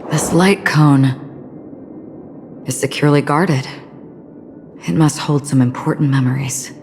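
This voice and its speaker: An actress playing a calm, guarded, enigmatic young woman in a video game, speaking deliberately. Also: extremely low pitch, background noise, exaggerated voice. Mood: pensive.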